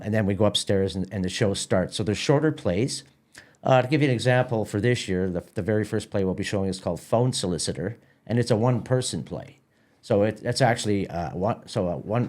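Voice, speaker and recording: Man, halting conversational talker. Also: lowish voice, a little mellow. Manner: halting, repeats words. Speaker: guest, theatre festival organizer. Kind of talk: casual podcast interview.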